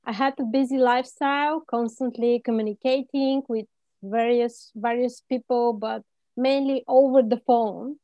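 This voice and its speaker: A woman with a Bulgarian accent answering interview questions haltingly, in non-native English phrasing. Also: on the high side, steady tone, mellow. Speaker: interview guest, life coach. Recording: muffled, echoey room sound.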